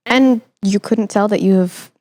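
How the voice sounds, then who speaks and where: A woman's voice: mid-range voice, unhurried, darkish tone, dry recording. Young woman hosting, close dry sound. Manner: encouraging, supportive. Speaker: podcast host. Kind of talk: conversational interview.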